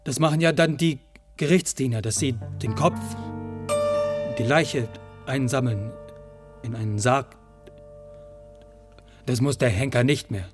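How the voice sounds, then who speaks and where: An actor in a radio play voicing an eager working-class man who justifies himself in halting, rambling colloquial speech. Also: medium pitch, over loud music, natural voice. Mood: uneasy. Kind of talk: radio play monologue and dialogue.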